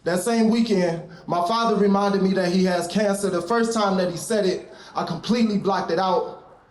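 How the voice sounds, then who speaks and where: A man's voice: sits high, moderate pace. A man reciting reflective, confessional spoken word poetry as a poet at a live event. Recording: echoey live room.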